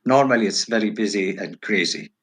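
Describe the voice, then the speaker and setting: A middle-aged man from Turkey answering interview questions casually as a guest, in halting, broken phrases. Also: lowish voice, a bit bright, slightly rough. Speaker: podcast guest, hairstylist. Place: virtual call.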